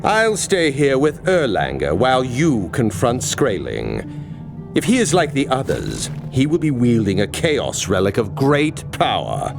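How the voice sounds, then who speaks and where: A stern counsellor from a fantasy game. He speaks slowly and gravely, with a creaky rasp. His pitch moves widely as he gives instruction. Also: wildly swooping pitch, music in the background, heavily acted. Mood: grave, instructing. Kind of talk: video game cutscene acting.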